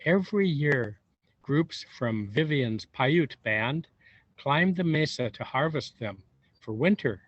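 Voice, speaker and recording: A man reading a storybook aloud to young children, expressive and unhurried, pausing for wondering asides. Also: on the high side. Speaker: volunteer storybook reader. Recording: roomy echo, dull lo-fi sound.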